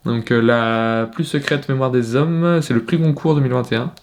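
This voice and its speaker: A man's voice: medium pitch, moderate pace. Young male fitness vlogger, casual bedroom talk to camera, hesitant delivery with restarts. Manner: hesitant, many fillers and restarts. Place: at home in his bedroom.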